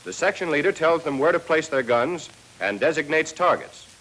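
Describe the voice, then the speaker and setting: An American man narrating a 1940s military training film, reading a scripted lesson and addressing trainees directly with clear instructions. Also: on the high side, expressive, moderate pace, a bit bright, rough recording.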